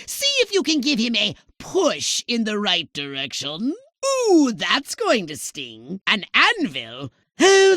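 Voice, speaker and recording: A very high, bright-voiced alien commander, scheming and imperious. His pitch swings wildly as he hands out pompous, sarcastic orders in theatrical video game style, recorded very dry. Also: no echo, heavily acted. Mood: gleefully sinister. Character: shrill, bossy, scheming alien commander giving mission orders.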